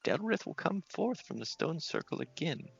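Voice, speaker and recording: Elderly fortune-teller played by a man, portentous and theatrical, gravelly edge, lively swooping pitch, game character. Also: normal speed, lo-fi sound, faint music behind, exaggerated voice. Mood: solemn.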